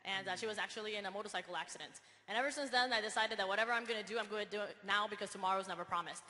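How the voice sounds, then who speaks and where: A woman speaks very fast and with insistent earnestness from a stage. Her voice is bright and a little high. The big hall adds a long reverb. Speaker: speaker at a business event. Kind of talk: motivational stage speech.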